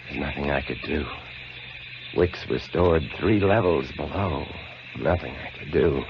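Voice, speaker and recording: An actor playing a shaken male lighthouse keeper, telling his story in hushed, dramatic narration for a 1950s radio drama. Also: rumbling low, measured pace, background noise. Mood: haunted, hushed. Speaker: lead actor narrating in first person. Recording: lo-fi old radio broadcast with music.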